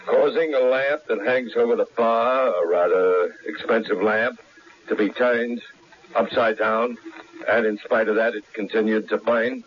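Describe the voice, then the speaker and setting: Man acting a pompous, low-voiced lawyer. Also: fairly even intonation, measured pace, a little hiss or hum, natural voice. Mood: officious. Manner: pompous, precise, formal. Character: stuffy, formal lawyer pressing a claim. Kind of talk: old-time radio drama acting. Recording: dull lo-fi radio drama recording.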